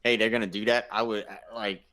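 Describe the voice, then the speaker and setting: A man's voice: lowish voice, little pitch movement, fast talker, bright, forceful tone. A man co-hosting a gaming show, talking casually and emphatically with his fellow panelists, often repeating himself for stress.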